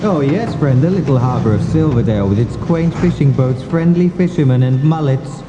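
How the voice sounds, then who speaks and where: A man's voice: mid-range voice, animated, moderate pace, dull audio, very noisy. A man reading a scripted comic mystery as storyteller, solemn and mock-dramatic in his delivery. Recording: echoey, long reverb, faint music behind. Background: faint music.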